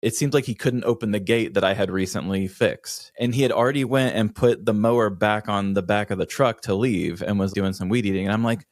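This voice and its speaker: An American man with a fairly low voice tells stories casually as a podcast co-host. He complains in a comic, self-deprecating and dramatic way. The sound is close and dry, with little room around it. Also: brisk, few pauses, dry recording. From United States. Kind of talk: casual comedic storytelling.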